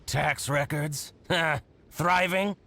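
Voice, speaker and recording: A man's voice: a little high, steady tone, drawn-out words, bright, forceful tone, a little hiss or hum, full character voice. A man voicing a jealous, boastful merchant in a video game, theatrical and full of exclamations and gloating. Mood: indignant. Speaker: merchant character. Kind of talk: animated video game voice acting. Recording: game music behind the voice. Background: background music.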